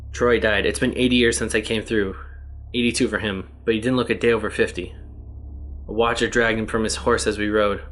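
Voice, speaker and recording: A man voicing a weary male test subject stranded for ages in another world, read out in an even, plain reading voice. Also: fairly low pitch, brisk, natural voice.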